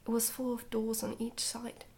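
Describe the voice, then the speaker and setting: A woman reading a children's storybook in a hushed, breathy whisper, gently and carefully, in ASMR style. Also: on the high side, monotone, moderate pace. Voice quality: whispery, breathy, soft-spoken. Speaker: ASMR book reader.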